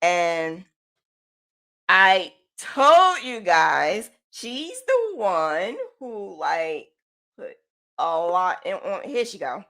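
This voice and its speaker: Woman hosting a chat, talks slow, bright voice, lots of little reactions. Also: fairly low pitch, sing-song. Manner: laughs often, short reactions. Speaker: host. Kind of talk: casual video chat.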